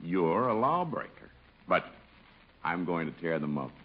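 Middle-aged police chief, low husky voice, folksy, coaxing, vintage radio comedy. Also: male voice, very animated, full character voice. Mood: wheedling. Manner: deliberate, coaxing. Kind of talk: 1950s radio comedy acting. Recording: muffled vintage 1950s radio recording.

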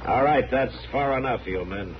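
An actor playing a stern, steady American frontier marshal in a radio western, deep and firm, giving terse, unhurried commands. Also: male voice, close to an everyday voice. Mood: calm, unyielding. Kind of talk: 1950s radio western acting. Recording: muffled, noisy old 1950s radio recording.

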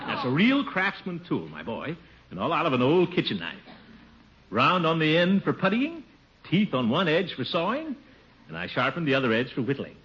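A blustery middle-aged American uncle in a 1950s radio comedy. He is pompous and boastful, with a lively swooping delivery and chuckles. The old recording sounds dull. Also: on the high side, a little slow, pauses often, background noise.

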